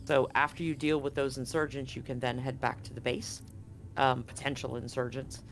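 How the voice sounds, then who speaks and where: A man's voice: medium pitch, little pitch movement, moderate pace, fairly bright tone, slight background noise. A man narrating a live tabletop role-playing game as game master, explaining things conversationally. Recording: music playing behind the voice. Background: music.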